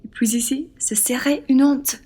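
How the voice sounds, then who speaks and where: A woman's voice: high voice, steady tone, normal speed, warm tone, husky. A woman playing a proud, defiant young Frenchwoman in an amateur radio play, blunt and indignant. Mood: rebuffing, indignant.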